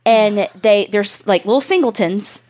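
A woman's voice: mid-range voice, measured pace, a little mellow. Wry Southern US woman, casual chat. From Southern United States. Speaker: podcast co-host.

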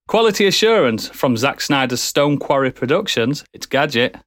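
A man's voice: mid-range voice, sing-song, moderate pace, a bit bright, dry recording, clean studio sound. A man hosting a podcast in casual chat, jokey and laughing often. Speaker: podcast host.

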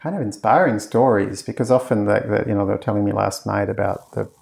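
A man with a heavily gravelly, creaky voice pitched on the low side. He chats casually in an interview, rambling through his points with fillers and restarts. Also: steady tone, quick pace, darkish tone. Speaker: course creator being interviewed. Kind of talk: casual conversation.

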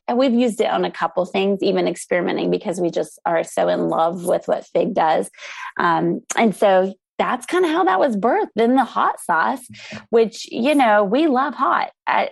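An enthusiastic American woman chats as an interview guest. Her voice has noticeable creak and sits at a middling pitch. The sound is close and dry. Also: dry recording. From United States, grew up in the country. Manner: enthusiastic, chatty, quick to laugh. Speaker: interview guest, food truck operator. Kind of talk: casual interview chat.

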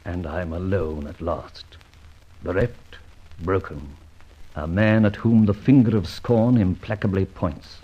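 An actor playing a disgraced army officer turned adventurer in an old radio drama, with theatrical, old-fashioned gentlemanly phrasing and a husky voice. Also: male voice, low-pitched, steady tone, a little slow, slight background noise. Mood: bitter, anguished. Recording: dull, lo-fi old radio recording.

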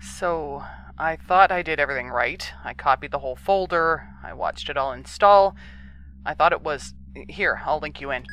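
A woman's voice: low voice, moderate pace, fairly bright tone, slight background noise, low-quality recording. A woman playing an anxious, well-meaning younger brother in an audio drama. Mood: secretive, worried.

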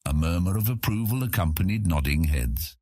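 A man's voice: low-pitched, expressive, fairly quick, mellow, husky, no echo, clean studio sound. A man narrating a scripted fantasy audiobook in a dramatic storytelling style.

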